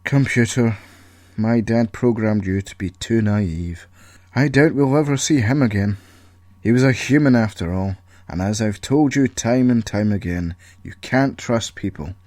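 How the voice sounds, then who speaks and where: A man acts out a sulky male outcast in an audio story who trusts nobody. He grumbles with bitter sarcasm, in a lowish voice with a very dry sound. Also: a little slow, darkish tone, dry recording, natural voice. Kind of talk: audio story character acting.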